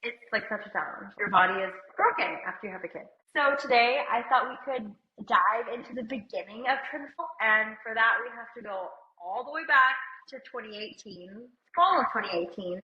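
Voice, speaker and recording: A woman's voice: fairly high pitch, a little fast, few pauses. A woman co-hosting a casual business chat, talking easily with a slight cold and filling her speech with "like". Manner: chatty, uses "like" often, laughs lightly. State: has a cold. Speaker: podcast co-host and company CEO. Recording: roomy, somewhat dull sound.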